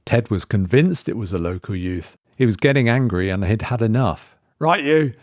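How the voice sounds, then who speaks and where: A man narrating a horror story in a measured, suspenseful way. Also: on the low side, animated, a little mellow, dry recording, clean studio sound. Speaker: story reader.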